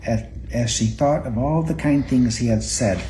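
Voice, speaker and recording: A parent reading a children's Bible story aloud at home, gently and a little haltingly, repeating words now and then. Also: medium pitch. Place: indoors at home. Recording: home recording with faint background noise.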